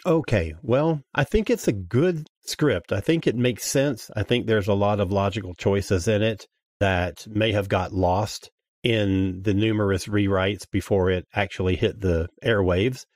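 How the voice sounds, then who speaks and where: Man, low voice, podcast guest, casual review chat, dry close sound. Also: a little slow, a little mellow, dry recording.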